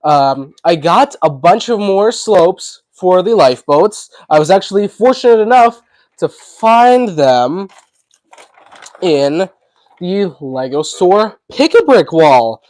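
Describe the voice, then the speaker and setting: Male streamer, sick voice: congested and hoarse, chatty and rambling, dry close sound. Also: high-pitched, very animated, slow pace, a little mellow, no echo. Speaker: livestream host. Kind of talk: casual livestream chat.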